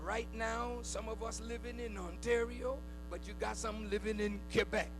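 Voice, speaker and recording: A man's voice: sits high, steady tone, normal speed, music in the background. A man preaching in a fiery oratorical style, speaking emphatically in short phrases and prodding his listeners with questions. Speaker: preacher addressing a congregation. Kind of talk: fiery oratory, sermon-like public speech.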